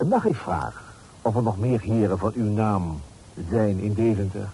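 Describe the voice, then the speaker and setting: An actor playing a nosy landlord and informer, slyly polite and insinuating, in a period radio drama. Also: male voice, on the low side, expressive, moderate pace, dark tone. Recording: noisy old radio play recording.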